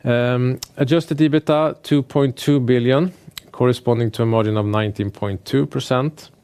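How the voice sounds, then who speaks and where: A man speaking as a finance executive presenting quarterly results, in a measured, businesslike and somewhat hesitant way. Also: mid-range voice, fairly even intonation, darkish tone, clear, resonant tone. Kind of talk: earnings call presentation, prepared remarks delivered semi-freely.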